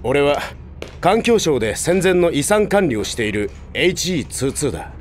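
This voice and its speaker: An actor playing a blunt, insistent young male android rebel who talks roughly and casually. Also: fairly high pitch, big pitch swings, normal speed. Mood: grave. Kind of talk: Japanese audio drama acting. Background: background music.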